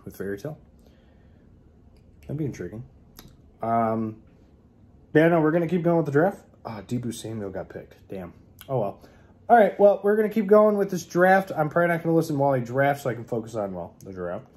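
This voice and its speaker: A man's voice: mid-range voice, very animated, a little fast, long pauses, darkish tone. A man chatting casually to camera as a book vlogger, in a rambling, informal and slangy way.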